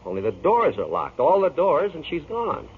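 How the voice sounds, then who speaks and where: A man's voice: on the high side, wildly swooping pitch, normal speed. Shifty, stammering radio noir wise guy. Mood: nervous, defensive. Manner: stammering, evasive, colloquial. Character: shifty, wisecracking cafe owner caught by police. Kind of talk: 1940s radio noir acting. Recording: tinny, noisy old radio broadcast.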